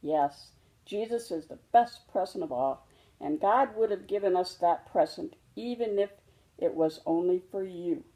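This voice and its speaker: A woman voicing a patient, loving mother bear in a storybook, explaining things gently and reassuringly. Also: fairly low pitch, a little slow, close to an everyday voice, rough recording. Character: patient, loving mother polar bear teaching her cub.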